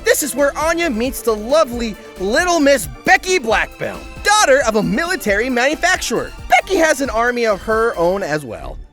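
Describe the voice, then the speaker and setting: Wildly animated, high-voiced sarcastic woman narrator. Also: normal speed, few pauses, faint music behind. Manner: theatrical, sarcastic, foul-mouthed. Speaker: narrator, host. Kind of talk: comic scripted recap narration.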